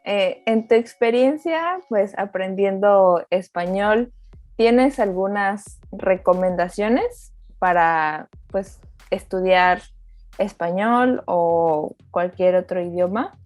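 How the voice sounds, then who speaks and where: A woman's voice: mid-range voice, animated, slow pace, darkish tone, no room sound, faint music behind. A woman hosting a casual interview, asking questions in an encouraging way. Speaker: host. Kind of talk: friendly interview, casual chat.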